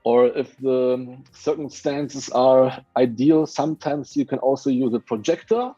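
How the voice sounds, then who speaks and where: A man from Hungary speaks with a Hungarian accent, pausing and restarting often as he hunts for the right word. His voice is mellow and dark, recorded dry, with slightly dull, lo-fi sound from a remote call. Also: medium pitch, husky, no echo, soft background music. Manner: halting, searching for words, many restarts. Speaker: guest muralist. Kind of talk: casual interview chat. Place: home, remote video call.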